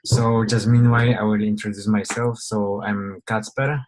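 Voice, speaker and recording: Low flat-voiced man presenting. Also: normal speed, noisy background. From Poland. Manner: hesitant, many fillers and restarts. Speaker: webinar presenter. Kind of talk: informal online presentation.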